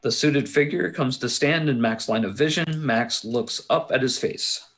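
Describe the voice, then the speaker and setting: A man with a somewhat husky voice and a middle pitch reads screenplay narration aloud. He delivers it as stage directions at a table read, occasionally tripping on a word and going back over it. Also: moderate pace, a bit bright. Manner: stumbles over and corrects words.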